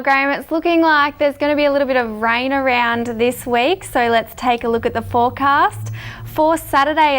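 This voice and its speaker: A woman's voice: on the high side, fairly bright tone, clean tone. A woman with an Australian accent presenting a scripted weather forecast.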